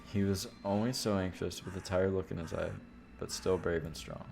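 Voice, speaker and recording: A man with a fairly deep voice reads a horror visual novel aloud for a stream. He narrates expressively, like a storyteller, and slips into casual asides. Game music plays clearly behind him. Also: steady tone, normal speed. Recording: loud music under the voice.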